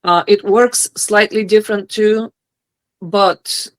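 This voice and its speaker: A woman's voice: medium pitch, fairly even intonation, slow. A woman in her thirties from Bulgaria with a Bulgarian accent, talking earnestly as an interview guest over a remote call. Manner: earnest, hesitant with fillers. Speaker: guest, knife handle maker. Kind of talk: casual interview chat.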